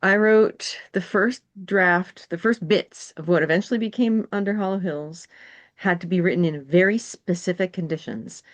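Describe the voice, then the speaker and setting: A woman's voice: fairly low pitch, moderate pace. A woman from New England, a guest game designer, telling stories in a rambling, casual way with lots of fillers. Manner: rambling storyteller, many fillers. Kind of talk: casual conversation.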